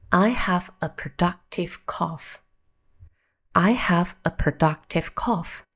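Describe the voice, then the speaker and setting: A woman hosting a short English lesson, spelling words out letter by letter and repeating each phrase for practice. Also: lowish voice. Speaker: English teacher, channel host. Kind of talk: slow scripted language lesson.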